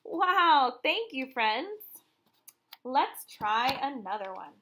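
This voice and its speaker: A woman's voice: sits high, sing-song, slow, pushed and bright. A woman in her thirties reading aloud and counting for young children as a teacher, in an encouraging, sing-song way.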